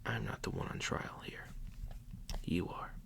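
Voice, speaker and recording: A man whispering a playful mock police interrogation as an ASMR roleplay, slow and teasingly accusing. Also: a bit bright.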